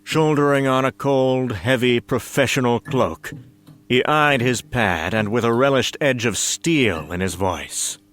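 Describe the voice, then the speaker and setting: A man narrating a comic story in a dramatic scripted style, with a wry, deliberate storytelling manner. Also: mid-range voice, a bit bright. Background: music.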